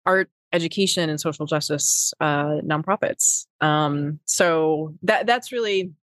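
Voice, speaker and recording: Brief: middle-aged woman, Wisconsin accent, low voice, dry close recording, meandering interview answers. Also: measured pace, no echo. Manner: rambling, many fillers and restarts. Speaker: podcast guest, nonprofit executive. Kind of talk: casual interview talk.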